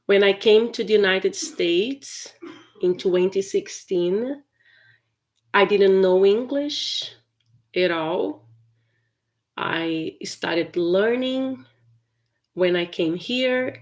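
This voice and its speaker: A woman's voice: medium pitch, a little mellow. A woman from Brazil whose first language is Portuguese, teaching English in a chatty live stream with many fillers and restarts. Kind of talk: casual livestream lesson.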